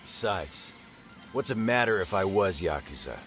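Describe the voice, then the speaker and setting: A man playing a gruff, hot-headed, loyal ex-yakuza in an English-dubbed crime game, with a blunt, defensive manner. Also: deep voice, animated, normal speed, fairly bright tone, faint music behind. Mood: defensive, heated.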